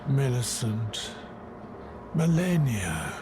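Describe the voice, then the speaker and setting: A man's voice: mid-range voice, very animated, very slow, background noise. An actor playing a mournful, sinister father of a rotten brood in a video game, lamenting in a theatrical way. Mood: anguished reproach. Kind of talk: dramatic video game dialogue.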